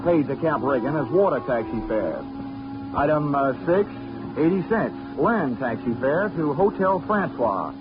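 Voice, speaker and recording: Wisecracking hard-boiled radio detective, muffled sound. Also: male voice, fairly high pitch, lively intonation, a little slow. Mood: wry, tense narration. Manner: wry, clipped, sardonic. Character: hard-boiled, wisecracking male insurance investigator narrating his case. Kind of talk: 1940s radio detective acting and narration. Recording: muffled 1940s radio with loud music.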